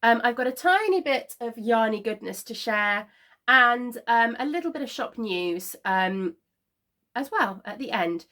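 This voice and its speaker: A woman chats to camera from her living-room sofa, sounding slightly congested from a cold. Her voice sits a bit high, and she talks in a relaxed, rambling way, leaning on frequent ums and small laughs. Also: a little slow. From Scotland. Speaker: knitting podcast host. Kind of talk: casual chat to camera.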